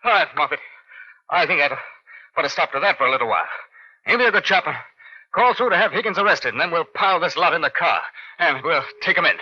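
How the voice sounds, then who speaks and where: An actor playing a brisk, wry British police inspector in old radio crime drama, with clipped commands and dry understatement. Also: male voice, fairly high pitch, animated, breathy edge. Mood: brisk, composed. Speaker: lead actor and narrator. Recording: muffled old radio recording.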